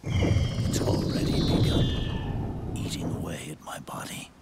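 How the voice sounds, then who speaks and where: An actor playing a weary, bitter, dying old soldier in a video game cutscene, with a gravelly, breathy rasp and halting, labored speech. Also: male voice, low voice, wildly swooping pitch, very noisy, heavily acted. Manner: slow, halting, labored delivery.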